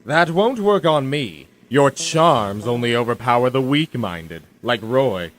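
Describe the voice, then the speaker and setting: An actor playing a smug, menacing male tyrant of the universe in a comic sci-fi radio drama, with theatrical, drawn-out delivery. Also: medium pitch, animated, a bit bright. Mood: cold, mock merciful. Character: smug male villain, self-proclaimed ruler of the universe.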